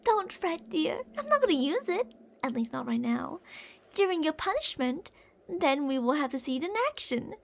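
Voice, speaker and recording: A woman's voice: sits high, sing-song, moderate pace, gravelly, heavily acted. A woman playing an obsessive older female kidnapper in an ASMR roleplay, cooing and possessive, doting yet menacing, with theatrical delivery. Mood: calm, threatening. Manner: cooing, possessive, theatrical, with pet names and whispered phrases.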